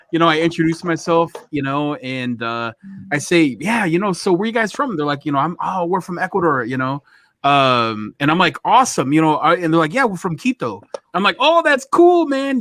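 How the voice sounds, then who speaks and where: A man's voice: high-pitched, a little hiss or hum. An American man hosting a podcast, telling a story casually, acting out the dialogue and saying "you know" a lot. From United States. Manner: animated, acts out dialogue, many "you know"s.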